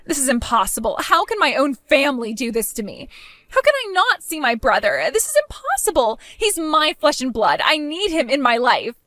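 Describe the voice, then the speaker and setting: A woman's voice: sing-song, moderate pace, a bit bright, no echo, studio quality. Woman voicing a spiteful, whiny young brat. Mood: shocked. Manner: mocking, whiny, shrill.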